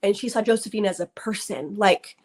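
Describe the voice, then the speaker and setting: A woman in her thirties speaks as a guest author, her pitch sitting in an ordinary middle range. She talks earnestly, tripping over repeated words and filling gaps with "like." Her tone is conversational rather than polished. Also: brisk. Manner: earnest, many fillers and restarts. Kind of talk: conversational interview.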